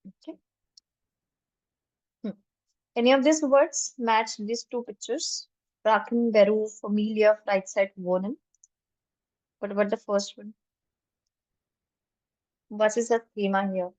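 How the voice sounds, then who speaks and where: A woman's voice: medium pitch, slow, pauses often, darkish tone, dry recording, rough recording. A woman teaching a German class online, patiently explaining step by step and mixing English with German.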